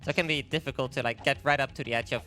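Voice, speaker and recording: A man co-commentating on a live speedrun, giving quick, chatty explanations. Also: medium pitch, steady tone, pushed and bright, faint music behind.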